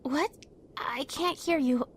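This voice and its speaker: A woman's voice: high voice, takes it slowly. A woman playing a polite, concerned and slightly hesitant young companion in an amateur audio drama.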